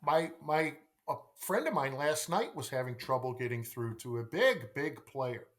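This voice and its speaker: A man's voice: medium pitch, expressive, moderate pace, fairly bright tone. A middle-aged man talking as a podcast guest and sales coach, emphatic, repeating phrases and posing rhetorical questions. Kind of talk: conversational podcast interview.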